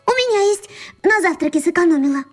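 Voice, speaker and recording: A woman's voice: extremely high pitch, lively intonation, fast talker, soft background music. A woman playing a careful, earnest, curious little schoolgirl in a radio play. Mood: earnest, doubtful.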